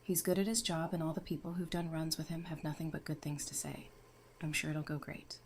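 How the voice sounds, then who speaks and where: A woman's voice: low-pitched, little pitch movement, a little fast, darkish tone, a little hiss or hum. A woman playing a friendly, knowledgeable member of a survivor community in an audio drama, speaking in an easygoing, explanatory way. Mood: reassuring, easygoing.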